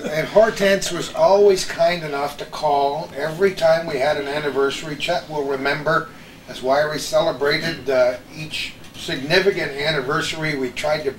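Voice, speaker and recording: An older man hosting a casual chat of reminiscences among friends. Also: on the high side. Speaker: host of a reminiscence show. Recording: roomy, distant, faint background noise.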